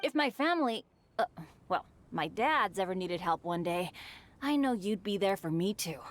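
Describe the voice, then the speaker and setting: A woman's voice: mid-range voice, animated, moderate pace, close to an everyday voice. A woman voicing an upbeat, kindhearted teenage boy adventurer in a video game, earnest and friendly.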